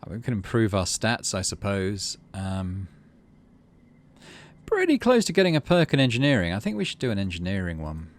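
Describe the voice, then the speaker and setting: Man musing through a game, low voice. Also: wildly swooping pitch, normal speed. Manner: muses aloud, thinking through choices. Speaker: gaming video host. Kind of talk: casual let's play commentary with narrated game text.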